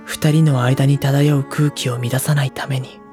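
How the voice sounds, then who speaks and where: A woman playing a mild, hesitant high school boy in a Japanese audio drama, soft-spoken and polite, with inner monologue. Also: little pitch movement, unhurried, over music. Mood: somber, introspective. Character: mild, obtuse high school boy narrating his anxieties.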